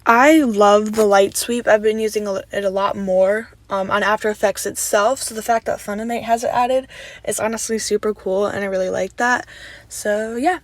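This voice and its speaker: A young woman talks through a video editing tutorial in a casual, friendly voice, slightly raspy from just waking up. She explains each step plainly, often wrapping up with "so yeah". Her voice is close to the mic and completely dry. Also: medium pitch, normal speed, no room sound. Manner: relaxed, chatty step-by-step instructions with "so yeah" fillers. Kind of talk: casual screen-recorded tutorial voice-over.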